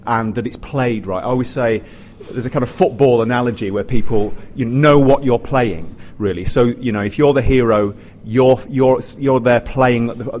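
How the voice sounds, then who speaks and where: A man with a medium-pitched voice talks informally as a theatre practitioner on a panel. He rambles, restarting and repeating phrases with plenty of "you know". There is noticeable noise behind him from the live recording. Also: a little mellow. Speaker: pantomime writer, performer and director on a discussion panel. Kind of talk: unscripted panel discussion. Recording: noisy live room recording.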